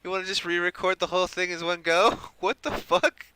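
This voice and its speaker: A man's voice: high-pitched, fairly even intonation, a little fast, sharp tone, smooth, no echo. A man giving casual live gameplay commentary as a streamer, slangy and given to swearing and mimicry. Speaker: game streamer.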